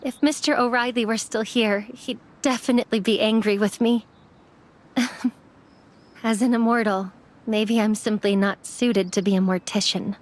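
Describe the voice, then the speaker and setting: A woman's voice: a little high, fairly even intonation, measured pace, lightly acted. A woman playing a young immortal apprentice mortician in an anime game, earnest and subdued. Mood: apologetic.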